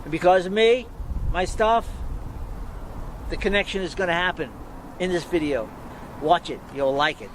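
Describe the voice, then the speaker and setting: High-voiced man vlogging at an event. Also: normal speed, long pauses. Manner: upbeat, self-promoting, chatty. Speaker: author and videomaker. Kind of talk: casual vlog narration to camera. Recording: outdoor camera audio with background noise.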